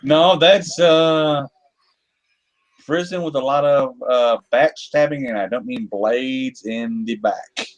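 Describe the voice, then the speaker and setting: A man's voice: medium pitch, takes it slowly, dull audio. A man with a Southern American accent making dry wisecracks in casual group chat. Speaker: live chat co-host.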